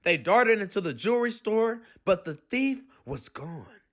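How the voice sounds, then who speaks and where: A man reading a children's storybook aloud with expressive narration. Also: sits high, unhurried. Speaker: storybook reader.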